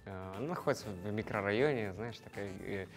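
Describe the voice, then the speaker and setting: Young man, lowish voice, animated, studio interview, lapel mic, faint music. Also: fairly quick. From Kazakhstan. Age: young adult. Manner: jokey, animated, laughs at himself. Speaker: show participant. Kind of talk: casual interview. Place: studio with grey backdrop.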